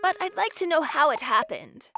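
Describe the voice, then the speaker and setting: A woman's voice: high voice, lively intonation, moderate pace. A woman playing an older, kindly mother-in-law in a manga dub. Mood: sorrowful, gentle.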